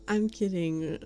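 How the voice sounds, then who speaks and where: Slow, soft-spoken woman streamer. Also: mid-range voice. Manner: giggly, laughs often between remarks. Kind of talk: casual chat with live chat. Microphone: studio condenser mic.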